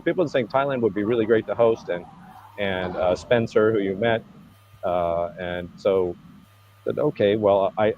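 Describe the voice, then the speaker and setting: A man, an event organizer, talking in a casual interview, halting and restarting often with many fillers. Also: lowish voice, darkish tone, dull audio, a little hiss or hum. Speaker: convergence organizer. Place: Thailand.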